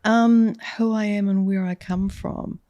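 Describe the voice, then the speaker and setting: A woman's voice: medium pitch, fairly even intonation, a little slow, mellow, no room sound, dull audio. A middle-aged woman with a New Zealand accent, speaking as a podcast guest in a candid, reflective way. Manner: reflective, earnest, laughs easily. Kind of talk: candid conversational interview. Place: studio with a couch.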